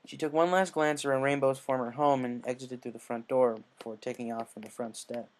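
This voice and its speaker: A man's voice: medium pitch, fairly even intonation, brisk, low-quality recording. A man reading scripted fan fiction aloud as the narrator. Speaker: story narrator.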